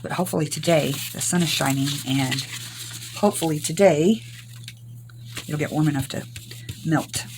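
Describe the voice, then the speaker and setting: Hoarse older Southern woman crafting. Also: low-pitched, normal speed, long pauses, background noise. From Arkansas, US South. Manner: rambling, homey, many ums. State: hoarse, recovering from illness. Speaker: craft channel host. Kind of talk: casual crafting tutorial.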